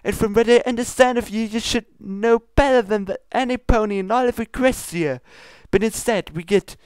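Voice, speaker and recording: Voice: mid-range voice, moderate pace, darkish tone, clean tone, no echo, pitched above the performer's own voice, close to an everyday voice. A reader voicing a haughty, regal pony princess with a British accent and a formal, indignant manner in a comedy fan fiction reading. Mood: scolding.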